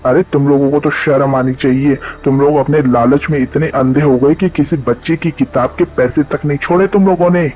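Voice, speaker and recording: A man's voice: a little high, steady tone, a little fast, few pauses, faint music behind, lightly acted. A man playing a stern, authoritative village headman in a cartoon, recorded a little dull. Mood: scolding. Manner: authoritative, scolding. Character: stern male village headman judging disputes.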